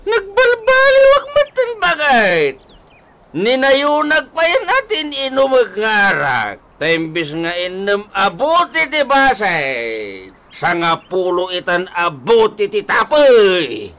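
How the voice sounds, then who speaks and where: An actor playing a drunken, stubborn, playful old husband in a Filipino radio comedy drama, with slurred speech and a Filipino accent. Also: male voice, high-pitched, big pitch swings, takes it slowly, pushed and bright, exaggerated voice. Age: older.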